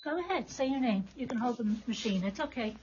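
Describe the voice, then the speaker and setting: A woman's voice: on the high side, animated, fast talker, a little mellow, highs cut off, slight background noise. A woman hosting a live podcast and teaching a class, speaking with encouraging, reassuring prompts. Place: classroom with about twenty people.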